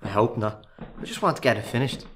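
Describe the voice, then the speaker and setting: A man's voice: lowish voice, a little fast, background noise, lightly acted. An actor playing a reluctant, worried Irish workman and hesitant accomplice in a radio play. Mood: uneasy, reluctant. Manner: doubtful, worried.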